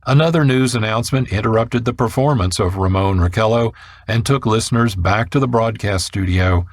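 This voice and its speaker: A man's voice: lowish voice, fairly quick, no room sound, clean studio sound. A man reading scripted documentary narration as the narrator of a radio programme.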